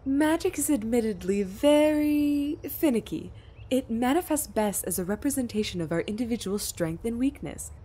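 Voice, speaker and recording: A woman voicing a gentle motherly goat monster in a comic dub, speaking formally, precisely and earnestly. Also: on the high side, lively intonation, normal speed, husky. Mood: instructive.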